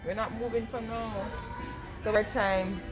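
A woman's voice: on the high side, fairly even intonation, measured pace, music in the background. Jamaican woman chatting on a vlog. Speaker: family vlogger. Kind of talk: casual shopping vlog chat.

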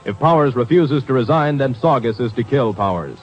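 A man's voice: mid-range voice, animated, fairly quick, lo-fi sound. Male 1950s radio announcer over music. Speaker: announcer-narrator. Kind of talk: dramatic scripted 1950s radio narration.

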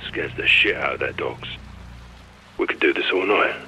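A stoic special forces lieutenant in a mask for a military video game. His voice is extremely deep, and he speaks in clipped, darkly deadpan lines. Noisy ambience runs behind him. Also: moderate pace, dull audio, exaggerated voice. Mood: deadpan joking. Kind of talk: naturalistic video game acting.

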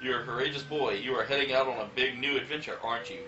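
A man voicing a stern female bodyguard from a video game in a deadpan, crude parody dub. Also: mid-range voice, fast talker, background noise, close to an everyday voice. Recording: roomy, dull lo-fi with game music.

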